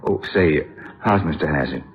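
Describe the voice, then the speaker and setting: An actor playing an earnest, troubled young jazz musician in a 1950s radio drama, halting and pleading. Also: male voice, rumbling low, soft background music. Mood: sheepish, hesitant. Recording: muffled old 1950s radio broadcast.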